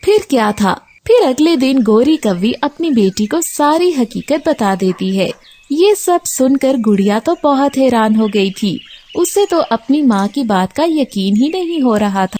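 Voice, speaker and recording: Female narrator, scripted children's tale: high pitch, lively, expressive intonation. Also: unhurried.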